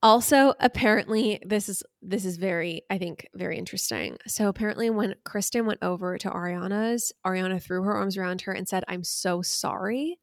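A woman's voice: mid-range voice, expressive, brisk, few pauses, dry recording. Woman host dishing gossip, dry close sound. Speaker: podcast host. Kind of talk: casual gossip recap chat.

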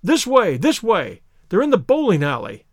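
A man performing a frantic storybook stranger, a desperate and fiercely protective man. He blusters and shouts in exclamations, high in pitch and leaping about, with a dry, close sound. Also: sing-song, fairly quick, no room sound.

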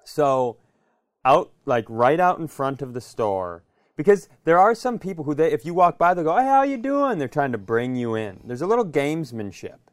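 A man's voice: a little high, big pitch swings, normal speed, dry recording, studio quality. A middle-aged American man co-hosting a podcast, telling comic stories casually and emphatically, repeating phrases for effect. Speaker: podcast co-host. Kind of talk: animated comedic storytelling in casual chat.

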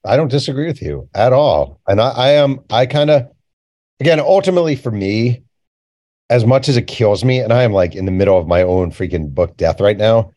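A man's voice: medium pitch, expressive, a little fast, mellow, no room sound, highs cut off. A man speaking as an interview guest, candid and colloquial, repeating phrases for emphasis. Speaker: author and journalist guest. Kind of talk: casual conversational interview.

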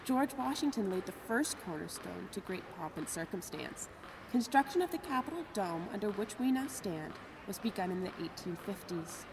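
A woman's voice: medium pitch, expressive, normal speed, close to an everyday voice. A woman playing a tour guide reciting history in an informational manner in an audio drama. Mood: informative. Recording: echoing hall with clear ambient noise.

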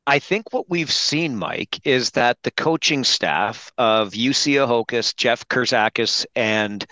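Bright-voiced male sports broadcaster, dry sound. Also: medium pitch, animated, slow, no echo. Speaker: hockey play-by-play broadcaster and guest commentator. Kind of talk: sports podcast interview analysis.

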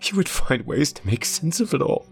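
A man's voice: mid-range voice, sing-song, normal speed, gravelly, darkish tone, soft background music, pitched above the performer's own voice. A man voicing a crude, remorseful male deity who swears bluntly as he confesses and apologizes. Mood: rueful.

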